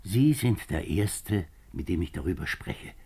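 Deep, husky, troubled government minister. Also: male voice, expressive, measured pace, mellow, full character voice. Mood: confiding, hesitant. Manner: hesitant, confiding, formal. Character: troubled male French government minister, reluctant politician, earnest. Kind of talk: 1950s German radio drama acting.

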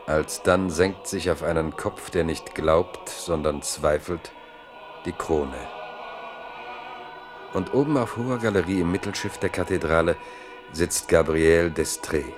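A man narrating a literary radio play in German with measured, dryly ironic storytelling. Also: deep voice, over music. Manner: measured, dry storytelling with irony.

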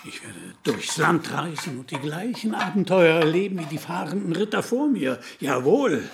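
An actor playing an eccentric, deluded country gentleman past fifty, grandiose and declamatory in a radio drama. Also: on the high side, sing-song, normal speed, gravelly, background noise. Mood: grandly resolute.

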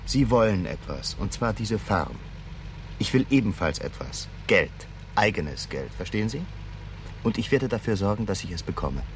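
A man's voice: lowish voice, very animated, normal speed, a little hiss or hum. An actor playing a cold, greedy, imperious businessman in a 1970s German radio crime play. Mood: hard, determined. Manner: imperious, curt, commanding. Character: cold, greedy, domineering businessman running a drug scheme.

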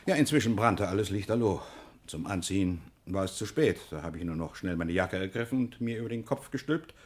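Deep husky voice, well-mannered pharmacy owner, earnest, sixties radio crime drama. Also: male voice, animated, brisk. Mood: animated, recounting. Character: courteous male pharmacy owner, shaken but protesting. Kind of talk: 1960s German radio crime drama acting.

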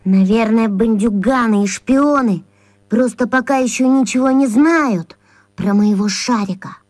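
An actress playing a small, suspicious schoolboy in a children's radio play, earnest, secretive and conspiratorial. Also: female voice, fairly high pitch, measured pace, darkish tone, dry recording. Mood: wary, conspiratorial.

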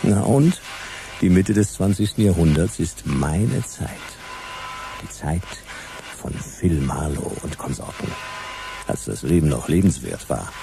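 An actor playing a grumpy, nostalgic private detective of the future in a German radio play, wry and laconic. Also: male voice, low-pitched, expressive, unhurried, creaky, exaggerated voice. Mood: stubborn, sardonic. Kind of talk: German radio play, first-person noir narration. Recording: loud music behind the voice.

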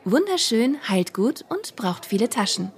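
An actress playing an excitable young woman gamer in an amateur comedy audio drama. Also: on the high side, unhurried, husky, exaggerated voice. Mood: delighted. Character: young woman gamer, wary and easily spooked.

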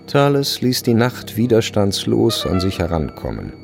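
A male narrator with a low, gravelly voice reads scripted literary prose for a radio drama. Faint music plays behind him. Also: wildly swooping pitch, normal speed.

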